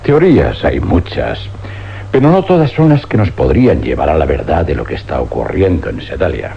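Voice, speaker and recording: An actor playing a weary older scientist in a suspense radio drama, speaking with grave deliberation and dramatic pauses in an accent from Spain. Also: male voice, a bass voice, big pitch swings, vocal fry, full character voice. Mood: guarded, weary. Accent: Spanish, from Spain. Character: weary older scientist confessing an uncanny experiment. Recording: dull, noisy old radio recording with hum.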